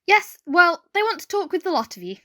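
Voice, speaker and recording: A woman's voice: extremely high pitch, big pitch swings, fairly quick, sharp tone, heavily acted. A woman playing a sarcastic, drawling household maid in a comedy audio drama. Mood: sardonic. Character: sardonic, cheeky household maid. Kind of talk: hobby comedy murder-mystery audio drama.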